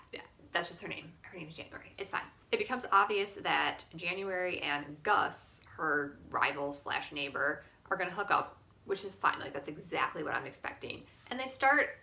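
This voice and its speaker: Bright, blunt young American woman. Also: mid-range voice, vocal fry. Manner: blunt, opinionated, swears freely, emphatic. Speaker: book reviewer vlogger. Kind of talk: casual chat, monthly reading wrap-up. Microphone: phone camera.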